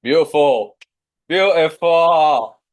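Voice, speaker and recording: A young man with a Yorkshire accent teaching a live online maths lesson, coaxing his students along with steady encouragement. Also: a little high, slow pace. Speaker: maths tutor.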